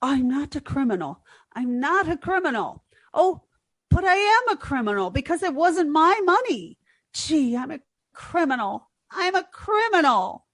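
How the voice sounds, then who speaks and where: A woman's voice: high voice, big pitch swings, unhurried, a bit bright, exaggerated voice. A woman playing a naive, scatterbrained young woman with guileless earnestness in a 1940s-style radio comedy. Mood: fretful. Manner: guileless, dim-witted earnestness.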